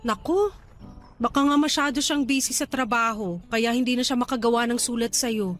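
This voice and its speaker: An actress playing a supportive young Filipina girlfriend in a radio drama, speaking gently and conversationally to reassure her partner. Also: a little high, moderate pace, soft background music, lightly acted. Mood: reassuring.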